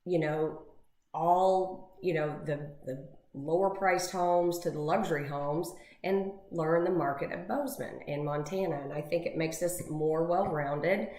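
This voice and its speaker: A woman co-hosting a real estate talk show who is losing her voice, chatting casually and warmly with lots of fillers. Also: low voice, measured pace. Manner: chatty, emotional, rambling with many fillers. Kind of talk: casual chat. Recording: echoey room.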